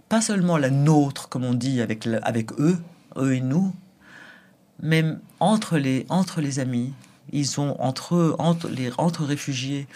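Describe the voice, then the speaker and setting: A man's voice: a little high, darkish tone. A man speaking as a guest in an interview, earnest and reflective, often repeating himself as he searches for words.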